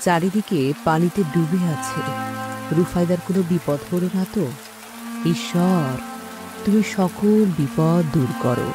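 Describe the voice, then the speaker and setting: A woman's voice: fairly low pitch, measured pace, natural voice. A woman playing a worried, pious middle-aged village mother in a cartoon, fretful and prayerful. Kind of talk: cartoon story dialogue. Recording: music and rain behind voice.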